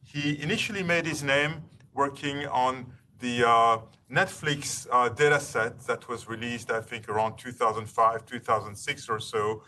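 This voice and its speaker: A man hosting a university seminar, introducing a guest speaker formally and courteously in a halting, wordy way. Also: medium pitch, fairly even intonation, sharp tone. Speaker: seminar host. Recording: distant mic, faint room noise.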